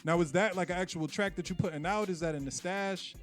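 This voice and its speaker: A man's voice: sits high, fast, soft background music. A man hosting a casual radio interview, laid-back and slangy with lots of fillers. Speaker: radio show host.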